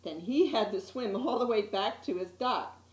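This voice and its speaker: A woman's voice: mid-range voice, moderate pace. Woman reading a storybook in an echoey room. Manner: expressive storyteller with asides to listeners. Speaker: story time reader. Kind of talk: reading a children's picture book aloud.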